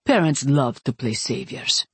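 A woman puts on a dark god's priest, a man with a very low, rough-edged voice. He is wry and placating, reasoning soothingly with dry humor, as an audiobook character in a dry recording. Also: expressive, a little slow, no room sound, full character voice.

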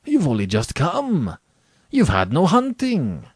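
A man's voice: fairly low pitch, sing-song, a little slow, darkish tone, no room sound, clean studio sound, heavily acted. A man voicing a suave, falsely courteous aristocratic general and cruel hunter in a storybook, with a courtly, condescending, theatrical manner. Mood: courteous, persuasive. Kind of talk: dramatic storybook reading.

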